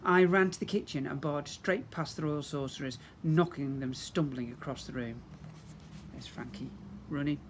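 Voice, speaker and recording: A man reading a children's storybook aloud as a teacher, in an expressive, dramatic storytelling manner. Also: a little high, moderate pace, slight background noise.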